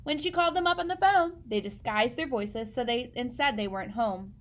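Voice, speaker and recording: Woman reading a picture book to kids, highish voice, expressive storyteller. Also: a little fast. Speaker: read-aloud storyteller.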